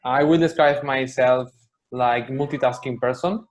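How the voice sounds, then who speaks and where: A man's voice: mid-range voice, fairly even intonation, a little slow. A man in his twenties from Catalonia introducing himself steadily. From Catalonia, Spain. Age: 20s. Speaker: meeting participant. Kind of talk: casual self-introduction. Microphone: video call.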